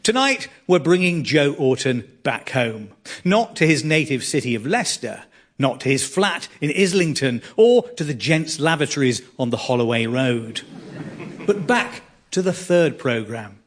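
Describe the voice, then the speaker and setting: Wry man presenting, husky and lively. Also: medium pitch, unhurried. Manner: wry, arch delivery with dry jokes. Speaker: presenter introducing a live radio drama performance. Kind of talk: scripted introduction to a live audience. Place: radio theatre stage before an audience. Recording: live theatre with audience behind. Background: audience.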